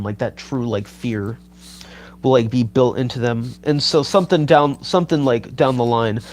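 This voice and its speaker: A man of about twenty on a livestream, rambling and halting with lots of fillers and swearing as he reads his chat. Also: mid-range voice, darkish tone, slight background noise. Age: 20s. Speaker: YouTuber reading live chat. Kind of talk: rambling casual livestream talk.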